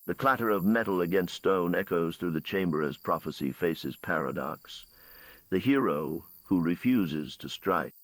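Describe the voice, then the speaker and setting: A male storyteller with a low voice reads a scripted bedtime story. His delivery is measured and dramatic. The recording is dry, with little room sound. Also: animated, fairly bright tone, no echo.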